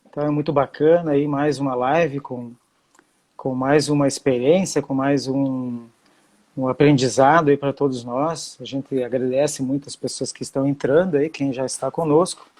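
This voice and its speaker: A middle-aged man from Santa Catarina, Brazil, hosting a video-call live stream in a friendly way, with many fillers and pauses. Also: mid-range voice, fairly even intonation, darkish tone. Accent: Santa Catarina Brazilian Portuguese. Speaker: host.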